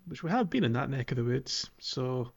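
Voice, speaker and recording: A man's voice: mid-range voice, sing-song, moderate pace, vocal fry, slightly rough. Easygoing man co-hosting a podcast. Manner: easygoing, agreeable, chuckles, uses fillers. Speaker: podcast co-host. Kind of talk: casual chat about a video game.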